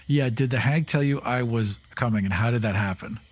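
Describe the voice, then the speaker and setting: A man's voice: medium pitch, fairly quick. A man playing in a tabletop game, explaining and describing his character casually. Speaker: player. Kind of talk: tabletop game narration and chat.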